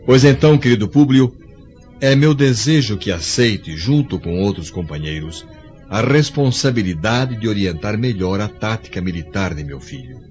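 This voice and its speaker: An actor playing an authoritative, courteous Roman emperor in a radio drama, stately and formal. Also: male voice, fairly low pitch, animated, moderate pace, a little mellow, lightly acted. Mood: stately, confiding. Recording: dull lo-fi recording, music behind.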